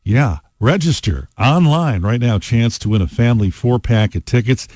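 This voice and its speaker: A man's voice: mid-range voice, moderate pace, dry recording, clean studio sound. Chatty rock radio DJ man. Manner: relaxed, chatty, frequent uh fillers. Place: radio studio. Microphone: studio microphone.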